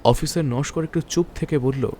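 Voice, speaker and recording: A man's voice: on the high side, normal speed, warm tone, slight background noise. A man narrating a scripted detective story in a dramatic storytelling style.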